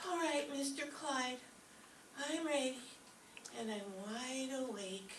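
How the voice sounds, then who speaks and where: A woman's voice: high-pitched, lively intonation, slow pace, fairly bright tone, full character voice. A woman playing a naive, drowsy, dim-witted young secretary in an old-time radio comedy. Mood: earnest, drowsy. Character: ditzy, sleepy young female secretary, naive and earnest. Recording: roomy, distant stage recording with faint noise.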